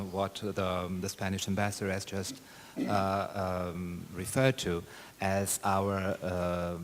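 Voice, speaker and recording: Deep flat-voiced man. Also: a little hiss or hum. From Portugal. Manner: hesitant, many fillers and repeats. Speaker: delegate at a conference. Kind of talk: formal conference question.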